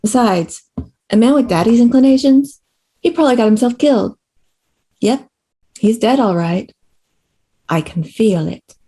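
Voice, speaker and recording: Brief: actress as tough young frontier woman, mellow tone, folksy, dry, defiant, screenplay read. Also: on the high side, lively intonation, a little slow, long pauses, breathy edge. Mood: bitter, defiant.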